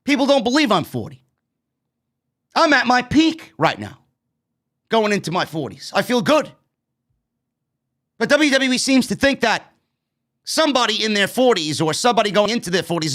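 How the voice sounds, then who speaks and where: Brief: late-30s New York guy, blunt animated wrestling host, higher-pitched voice, close studio mic. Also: moderate pace, pauses often. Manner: animated, gestures a lot, blunt and swearing. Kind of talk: casual show review. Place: streaming studio with neon bar backdrop. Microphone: broadcast mic on stand, headphones.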